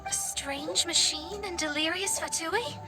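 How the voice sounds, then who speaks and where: A woman's voice: very high voice, unhurried, music in the background. A woman playing a self-assured, haughty young female astrologist in a video game. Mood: confident. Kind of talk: English video game voice acting.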